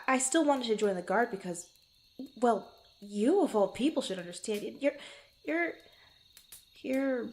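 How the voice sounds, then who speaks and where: A woman playing a guarded, bantering young swordswoman in an intimate fantasy ASMR roleplay, speaking haltingly to the listener. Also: medium pitch. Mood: flustered, indignant. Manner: flustered, bantering, halting, often sighing or chuckling. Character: guarded, teasing young adventurer swordswoman, former street thief.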